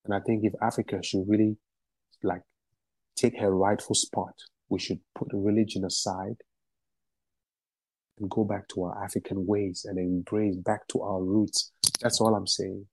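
A man from Ghana speaks with a low, dark and somewhat husky voice, emphatic and passionate as he answers interview questions. His sound is dry and close, with no room around it. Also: little pitch movement, unhurried, dry recording, rough recording. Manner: passionate, emphatic, repeats phrases for stress. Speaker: guest musician and activist.

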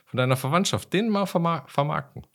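A man's voice: on the high side, a little fast. A man chatting casually as a podcast co-host, with wry, joking remarks. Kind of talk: casual chat.

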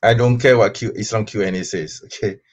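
A man's voice: fairly low pitch, steady tone, a little fast. A man from Malaysia debating online, answering questions insistently with many fillers and restarts.

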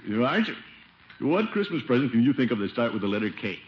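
An actor playing a pompous, scheming middle-aged bachelor uncle in a blustery 1950s radio comedy style. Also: male voice, mid-range voice, sing-song, a little fast, natural voice. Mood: preoccupied. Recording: noisy, muffled 1950 radio broadcast.

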